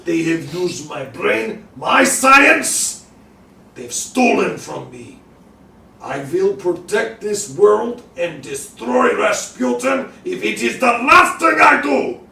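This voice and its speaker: A man playing an embittered scientist with a heavy put-on Russian accent, talking in slow, broken English and swearing grand revenge. His voice sits high and unhurried. He sounds distant, in an echoey room with a light hiss. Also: expressive, creaky, pushed and bright, exaggerated voice. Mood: bitter vengeful. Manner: broken English, dramatic vows. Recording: echoey room, far from mic, faint noise.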